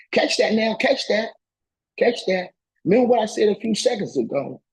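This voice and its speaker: Raspy, high-pitched man lecturing emphatically. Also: warm tone, low-quality recording. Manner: emphatic, repeats phrases for emphasis, colloquial. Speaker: political education lecturer. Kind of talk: impassioned political lecture, reading and commenting on a text.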